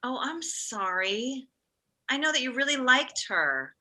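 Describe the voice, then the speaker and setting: A woman's voice: sits high, very animated, a little slow, sharp tone, full character voice. A woman playing a self-absorbed but well-meaning mother of forty-five in a comedy table read, emphatic and fussing. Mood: concerned.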